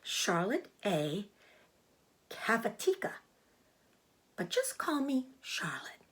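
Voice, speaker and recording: A woman voicing a proud, clever female spider in a storybook, with a thin, breathy voice and a genteel, self-assured, explanatory manner. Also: mid-range voice, wildly swooping pitch, slow pace, fairly bright tone, heavily acted. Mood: pleasant, self-assured. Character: clever, proud, matter-of-fact female spider, bloodthirsty trapper.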